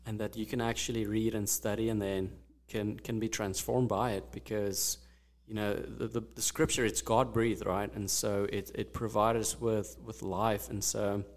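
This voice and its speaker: A man whose first language is Afrikaans, co-hosting a faith podcast in a relaxed, reflective, friendly way. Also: fairly low pitch, normal speed. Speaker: podcast co-host. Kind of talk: casual faith podcast chat.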